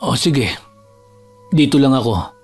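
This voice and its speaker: A man acting a caring young boyfriend, gentle and soothing, in an audio drama. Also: medium pitch, expressive, slow, slightly rough, soft background music, heavily acted. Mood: soothing, concerned. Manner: gentle, reassuring.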